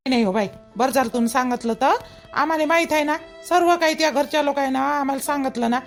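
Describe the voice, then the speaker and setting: Lady doing a scolding village mother-in-law, high creaky voice, vidarbha marathi accent, repeats herself, cartoon. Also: quick pace, faint music behind. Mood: indignant, reassuring. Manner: emphatic, repetitive, chiding. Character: middle-aged village mother-in-law, scolding, protective, gossipy. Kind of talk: rural family cartoon dialogue.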